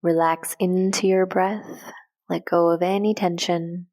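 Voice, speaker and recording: A woman with a slightly low-set voice leads a guided meditation. Her delivery is gentle and calm. She gives soft instructions in short, measured phrases and never hurries.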